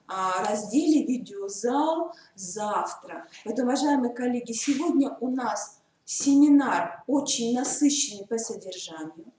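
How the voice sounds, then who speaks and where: A woman's voice: a little high, mellow. Woman moderator in an echoey room. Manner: formal, polite address to colleagues. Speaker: seminar moderator. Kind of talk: formal introduction of speakers. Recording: echoey room, mic far off, faint noise.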